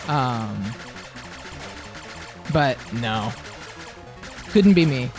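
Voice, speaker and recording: A man with a high voice chatting casually on a livestream, blunt and opinionated, swearing freely. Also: lively intonation, extremely slow, over music. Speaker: livestream co-host. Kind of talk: casual chat on a gaming stream.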